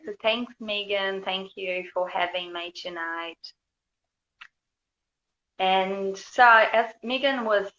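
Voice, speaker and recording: A woman from Brazil with a Brazilian accent, a guest talking casually about her healing work, gently searching for words. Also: fairly low pitch. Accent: Brazilian Portuguese. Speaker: guest, yoga and healing teacher. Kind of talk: casual conversation. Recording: dull lo-fi audio with faint background hiss.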